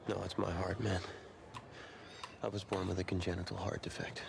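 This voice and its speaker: A man's voice: low-pitched, level tone, fairly quick, gravelly. An actor playing a proud young male quarterback who hides his illness, defensive and intense, heard through game audio. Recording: game audio with background noise.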